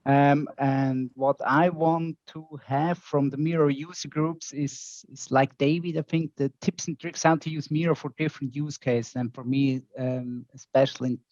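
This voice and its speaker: A man's voice: mid-range voice, fairly even intonation, moderate pace, few pauses, a little mellow, dry recording. A man with a Swiss accent introducing himself casually in a meeting as a consultant and trainer. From Switzerland. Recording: dull, lo-fi online call audio.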